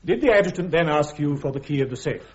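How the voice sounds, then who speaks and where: An actor playing a brisk army major prosecuting at a court-martial in a radio drama, with a British accent and formal, methodical questioning. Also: male voice, on the high side, expressive, lightly acted. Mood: brisk, probing. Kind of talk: full-cast radio drama acting. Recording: dull, muffled radio recording.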